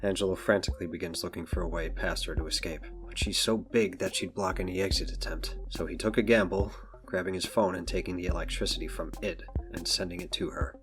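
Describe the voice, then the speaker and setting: Deep voiced guy reading audio drama narration, music playing under him. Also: fairly even intonation, fairly quick, hardly any pauses, rough recording. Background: background music.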